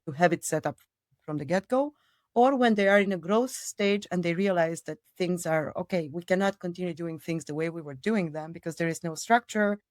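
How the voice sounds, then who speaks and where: A middle-aged woman from Romania, Romanian her first tongue, speaking in English in a fairly low voice. She answers at length, repeats words for emphasis and drifts into detours. The recording is dry and close, with no room sound. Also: dry recording. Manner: expansive, digressive, emphatic repetition. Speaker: people operations director, guest. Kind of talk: interview answers.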